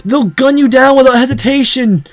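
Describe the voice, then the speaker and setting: A man's voice: very high voice, normal speed, soft background music, voice pushed up. A man voicing a desperate, protective young woman held captive in a visual novel, pleading urgently. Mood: urgent warning.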